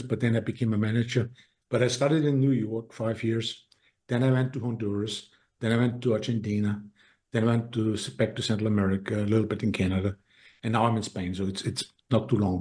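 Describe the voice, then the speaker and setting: A man's voice: fairly low pitch, a little mellow. A man with a heavy Austrian accent, talking earnestly as a podcast guest and restarting his sentences often. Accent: Austrian German. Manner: earnest, halting with many restarts. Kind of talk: casual interview talk.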